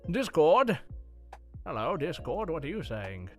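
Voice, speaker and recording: A man hosting a casual gaming podcast, chatting in a halting, rambling way. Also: fairly high pitch, sing-song, soft background music. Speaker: podcast host.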